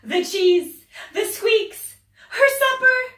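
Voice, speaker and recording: Woman teacher reading to kids; slow and very lively delivery, bright tone, echoey room, mic at a distance. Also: very high-pitched. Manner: expressive, swinging from whispers to loud exclaims. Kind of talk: children's story reading.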